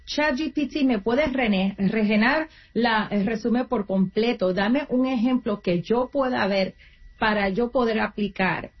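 A woman on an interview gives career advice in an earnest, explanatory way and sometimes restarts mid-word. Her voice sits a little high. The recording sounds muffled and lo-fi. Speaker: guest, nonprofit career coach.

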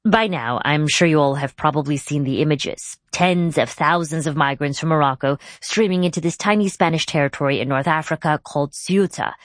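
A woman's voice: low voice, brisk, hardly any pauses, dry recording, studio quality. A woman hosting a news podcast, moving between scripted narration and interview questions. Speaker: podcast host.

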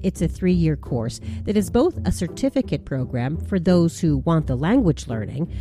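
A woman hosting a radio show, narrating a scripted feature in a measured, deliberate way. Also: low-pitched, big pitch swings, over music.

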